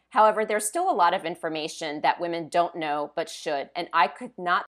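A woman hosts a podcast, moving from a scripted opening to lively, upbeat questions for her guest. Her voice sits a little lower than most women's. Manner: upbeat and enthusiastic. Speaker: podcast host. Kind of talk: scripted intro and interview questions.

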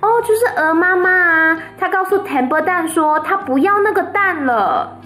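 High eager little girl, chatty, bouncy, as a woman puts her on, storybook. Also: animated, moderate pace, faint music behind, exaggerated voice. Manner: bright, eager, matter-of-fact.

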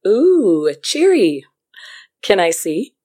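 An actress playing a doctor's dry, teasing, quietly wounded partner in intimate audio drama dialogue. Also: female voice, mid-range voice, sing-song, full character voice. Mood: curious. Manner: dry, unhurried, gently sardonic.

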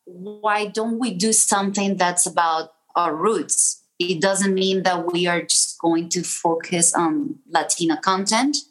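Woman, from Venezuela, low voice, animated storyteller. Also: unhurried, hardly any pauses, low-quality recording. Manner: animated storyteller, acts out quoted people. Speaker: film producer, guest. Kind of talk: casual interview storytelling.

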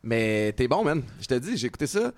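A man's voice: mid-range voice, sing-song, brisk. A man with a Quebec French accent hosting a casual podcast interview, encouraging his guest with short affirmations. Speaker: podcast host.

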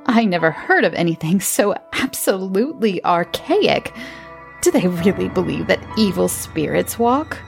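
A woman voicing a chatty, self-assured lady who speaks in bright, gushing exclamations. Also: on the low side, very animated, unhurried, vocal fry, soft background music, full character voice. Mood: gushing arch. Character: chatty, gushing upper-class English lady.

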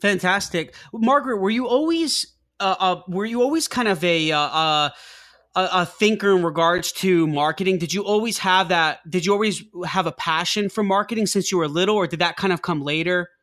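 A man's voice: sits high, normal speed, fairly bright tone. A man hosting a business podcast, reading an introduction and then asking questions with many restarts and fillers. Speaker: podcast host. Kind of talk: podcast interview.

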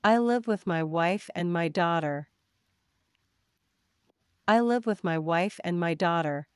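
A woman teacher reads short practice sentences at a very slow, careful pace. Her voice is smooth and clear, a little low, and sits close and dry. She says each sentence twice, the same way both times. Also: no echo. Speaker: English teacher. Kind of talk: reading example sentences for learners.

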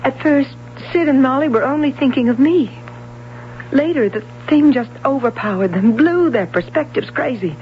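A woman's voice: sits high, animated, moderate pace. An actress playing a reserved, insecure young woman, courteous but guarded in a radio drama. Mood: pained, confiding. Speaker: actor. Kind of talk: American radio drama acting. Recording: muffled, hissy vintage radio broadcast.

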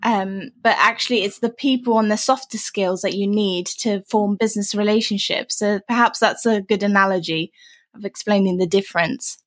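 A woman's voice: medium pitch, normal speed. A woman hosting an interview podcast, asking questions in a friendly, encouraging way with fillers like um and you know.

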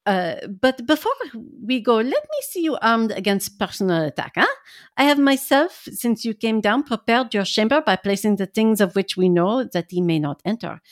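A woman's voice: fairly high pitch, expressive, moderate pace, no room sound, studio quality, close to an everyday voice. A woman voicing a fussy, earnest old male professor in an amateur dramatic reading.

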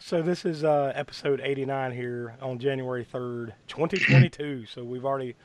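A man hosting a horror movie podcast, hoarse from bronchitis, talking in a rambling way with many fillers and restarts. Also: medium pitch, fairly even intonation, normal speed, a little mellow, slight background noise. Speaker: podcast host. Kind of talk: casual podcast chat.

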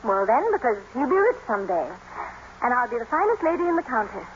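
Proud, passionate young gentlewoman. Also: fairly high pitch, big pitch swings, quick pace. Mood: excited, self-satisfied. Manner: theatrical, emphatic. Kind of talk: 1950s radio drama acting. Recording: muffled, hissy vintage radio broadcast.

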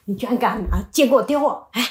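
A woman's voice: fairly high pitch, brisk, slightly rough, pitched above the performer's own voice. A woman voicing a grumpy, lonely tiger in a bedtime story, whining and exclaiming. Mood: indignant. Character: grumpy, easily angered but lonely tiger wanting friends.

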